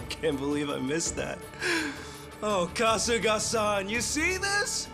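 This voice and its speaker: An actor playing a sadistic, mocking gangster villain in a video game cutscene, theatrical and falsely apologetic. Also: male voice, high voice, huge pitch swings, drawn-out words, heavily acted. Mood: amused, gloating. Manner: taunting, mock-apologetic, swinging from murmurs to exclamations. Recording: music under the voice.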